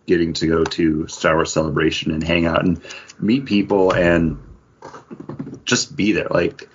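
A man's voice: deep voice, steady tone, measured pace, a little hiss or hum. A man from the United States chatting casually and sharing personal stories as a podcast co-host. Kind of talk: casual chat, personal anecdotes. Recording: dull, lo-fi audio.

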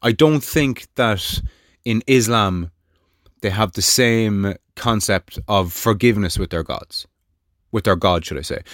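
A man's voice: lowish voice, fairly bright tone, dry recording, studio quality. A man, talking alone on his podcast in a blunt way, swearing freely and firing rhetorical questions. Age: 30s. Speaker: podcast host. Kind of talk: solo monologue.

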